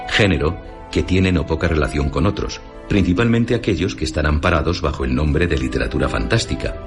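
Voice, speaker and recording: A man with a Castilian Spanish accent narrating a scripted radio essay in a formal, didactic, deliberate manner. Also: extremely low pitch.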